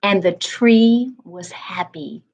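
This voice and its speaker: A woman narrating a children's storybook aloud as a reading coach, with deliberate, expressive phrasing. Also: medium pitch.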